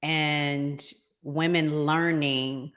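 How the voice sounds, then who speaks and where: A woman's voice: sits low, fairly even intonation, very slow, a bit bright. An American woman hosting a casual discussion, speaking as a podcast presenter. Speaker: podcast host. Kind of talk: casual discussion of relationship topics.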